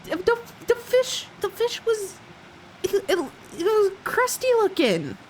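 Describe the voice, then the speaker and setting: A woman's voice: very high voice, wildly swooping pitch, measured pace, rough recording. A woman streamer giving casual, teasing live reactions to a game, often giggly and repeating words for effect. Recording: faint noise on livestream. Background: game audio.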